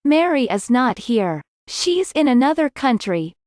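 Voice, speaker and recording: A woman reading scripted English lesson dialogue, slowly and clearly, in carefully spaced sentences. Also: high voice, very animated, a little mellow, no room sound, studio quality.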